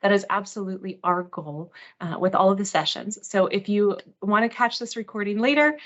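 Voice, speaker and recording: A woman hosting a live software training webinar in an informal, self-deprecating, reassuring way. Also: medium pitch. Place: online video meeting. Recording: dull lo-fi online meeting audio.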